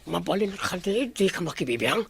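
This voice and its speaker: A man with an Ilocano accent playing an irritable, menacing master of the house in a radio drama, with a gravelly voice. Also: high voice, very animated, fairly quick, fairly bright tone, no room sound, exaggerated voice. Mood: irritated, menacing.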